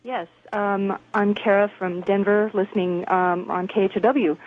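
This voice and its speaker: A woman's voice: medium pitch, steady tone, moderate pace, a little hiss or hum. An American woman phoning a radio show, putting a question in a hesitant way. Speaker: radio phone-in caller. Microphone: telephone. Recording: tinny narrow phone line.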